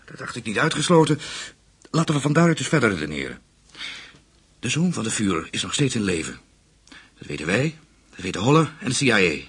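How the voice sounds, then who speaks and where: A calculating, menacing male interrogator for a radio play. His voice sits low and is noticeably husky. He speaks in a measured, commanding way. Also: big pitch swings, fairly bright tone, exaggerated voice. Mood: urgent deduction. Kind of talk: radio drama acting.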